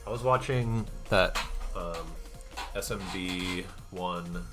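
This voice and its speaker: A low-voiced man talks loosely to his stream chat from a home desk, half muttering to himself as he works. He is close on a desk mic on a boom arm, and music plays clearly behind him. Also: takes it slowly. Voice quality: deep voice. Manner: relaxed, muttering to himself while solving. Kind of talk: casual live-stream chat.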